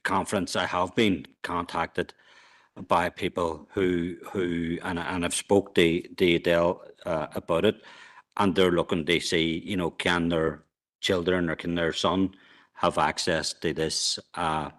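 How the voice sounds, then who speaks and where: Male councillor, halting speech, deep monotone. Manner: halting, repetitive restarts. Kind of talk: speaking at a council meeting.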